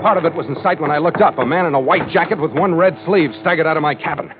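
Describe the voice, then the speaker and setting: Actor as a tough American skipper, tinny old radio. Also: male voice, fairly high pitch, quick pace, lightly acted. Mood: tense narration. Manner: terse, wry, matter-of-fact. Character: tough, wry hard-boiled male ship's skipper, narrator. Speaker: lead actor and narrator of radio drama. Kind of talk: 1940s-50s hard-boiled radio narration and acting. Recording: tinny vintage radio recording, loud music.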